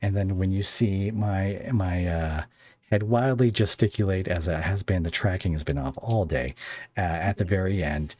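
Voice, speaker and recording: Man, very low voice, podcast host, casual chat, hesitant phrasing, dry recording. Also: normal speed, a little mellow, dry recording.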